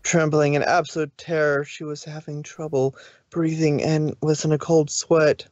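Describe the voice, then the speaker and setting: Higher-pitched man narrating, dry and dull. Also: flat delivery, normal speed, no room sound. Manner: stumbles over words while reading. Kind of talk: amateur story narration.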